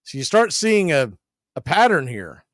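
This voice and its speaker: A man hosting sports radio, speaking in an emphatic, deliberately phrased announcer's style into a close microphone. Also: a little high, very animated, fairly bright tone, no room sound. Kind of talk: radio promo and commentary.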